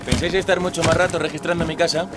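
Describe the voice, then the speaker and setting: An actor playing an arrogant young skinhead in an audio drama, insolent, cold and defiant. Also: male voice, fairly high pitch, level tone, rapid-fire, loud music behind the voice, natural voice. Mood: cold defiance.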